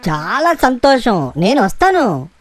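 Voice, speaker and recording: An actress playing a clever, friendly monkey in a children's animated story, playful and theatrical. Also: female voice, a little high, slow, faint music behind. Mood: cheerful.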